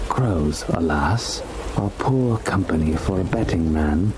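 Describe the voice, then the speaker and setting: Game role: wry, aloof male observer, deep register, theatrical mockery, heavy ambient noise behind. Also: expressive, takes it slowly. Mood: wry, mocking. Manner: wry, droll, theatrical, formal wording. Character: sardonic watchful man, wry aloof observer with crows. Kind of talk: video game cutscene acting.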